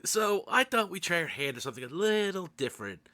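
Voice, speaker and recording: A man's voice: high voice, big pitch swings, fast talker, sharp tone, dry recording. A man hosting a casual game chat show, with playful, exasperated banter. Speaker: podcast host.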